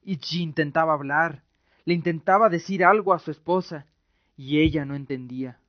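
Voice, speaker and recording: A man's voice: a little high, normal speed, no room sound. A man narrating a scripted radio drama in a solemn, theatrical way. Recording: muffled lo-fi audio, music behind. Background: music.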